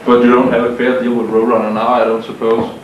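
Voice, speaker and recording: A man's voice: mid-range voice, completely flat delivery, fast talker, muffled recording. Male interviewer, medium voice, hesitant delivery; echoey, distant, faintly noisy recording. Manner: hesitant, many fillers and restarts. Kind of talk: casual interview questions.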